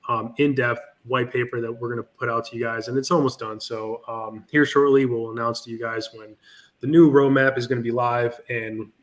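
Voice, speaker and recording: A man's voice: mid-range voice, little pitch movement, moderate pace. Male guest, confident promo talk, video call. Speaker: project team guest. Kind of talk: casual interview over video call.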